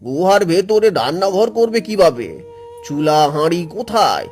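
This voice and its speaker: A poor woodcutter from a cartoon folk tale talks with music underneath. His voice is somewhat high for a male. He sounds anxious and doubtful. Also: very animated, moderate pace, a little mellow. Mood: worried. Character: anxious poor village woodcutter, husband and father. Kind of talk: Bengali cartoon story voice acting.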